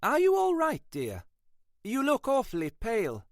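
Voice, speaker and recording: A man's voice: very high voice, wildly swooping pitch, normal speed, dry recording, clean studio sound, pitched above the performer's own voice, full character voice. A man voicing a posh, fussy lady of the house who gushes like a genteel hostess. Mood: gushing.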